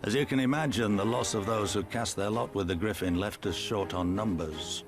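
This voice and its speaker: An actor playing a blunt older resistance commander in a video game, weary and proud, with measured, folksy speech. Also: male voice, on the low side, over music, lightly acted. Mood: weary, frank. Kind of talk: video game cutscene acting.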